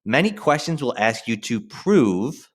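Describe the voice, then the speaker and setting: Male teacher for a recorded lesson: informal, directive, highly animated intonation, emphasis on key phrases, speaking straight to students. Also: unhurried. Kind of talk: instructional screen-share lesson addressed to students.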